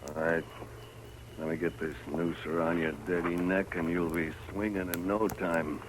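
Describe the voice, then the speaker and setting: A man's voice: deep voice, level tone, moderate pace, lightly acted. An American man acting in a 1950s radio western as a cruel, vengeful leader of a lynching, taunting and menacing. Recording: noisy vintage radio drama recording.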